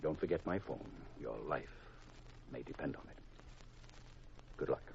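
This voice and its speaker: An actor playing a grave, secretive government intelligence chief in a 1950s radio drama, deliberate and confidential on a vintage recording. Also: male voice, rumbling low, gravelly, a little mellow, dull audio, slight background noise, full character voice. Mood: grave, instructing.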